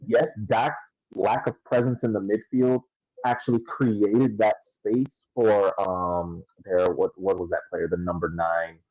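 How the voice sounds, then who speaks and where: Mellow, husky man analysing sport. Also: on the low side, flat delivery, a little slow, no room sound, rough recording. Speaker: podcast co-host.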